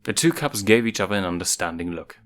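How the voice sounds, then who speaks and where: A man's voice: deep voice, lively intonation, quick pace, a bit bright. A man reading a story aloud as a scripted narration, with music behind him. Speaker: fan fiction reader.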